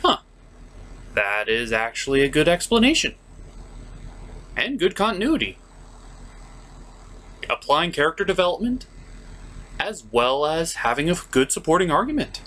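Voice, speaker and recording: A man's voice: a little high, very animated, measured pace, long pauses, bright, forceful tone, a little hiss or hum. A man hosting a comedic cartoon review, partly scripted and partly ad-libbed, with a sarcastic, theatrical delivery. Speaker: host of a cartoon review show.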